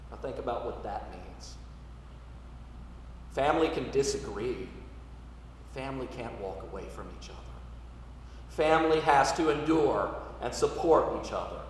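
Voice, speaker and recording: A man preaching a sermon as a priest, speaking in measured, deliberate phrases with emphatic pauses. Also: mid-range voice, a bit bright. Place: large reverberant church interior. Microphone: distant microphone. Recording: echoey church, distant mic, noisy hiss.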